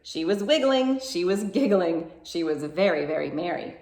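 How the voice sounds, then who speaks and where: A woman's voice: on the low side, animated, normal speed, a little mellow, echoey room. A woman hosting a children's storytime, reading a picture book aloud in a playful, rhythmic, emphatic way. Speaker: children's library storytime host.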